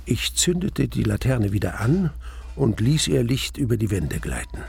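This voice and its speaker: An actor playing a grave first-person narrator in a radio drama, measured and suspenseful, pausing between phrases. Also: male voice, mid-range voice, sing-song, creaky, darkish tone, breathy edge, full character voice. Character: grave male narrator recalling an eerie adventure.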